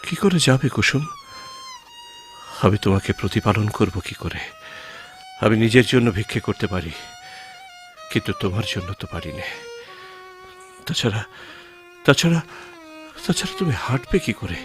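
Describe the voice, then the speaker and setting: A man acting in a radio drama as a gentle, grieving village husband, speaking emotionally and haltingly and repeating his phrases. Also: lowish voice, wildly swooping pitch. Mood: troubled, protesting. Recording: music behind the voice.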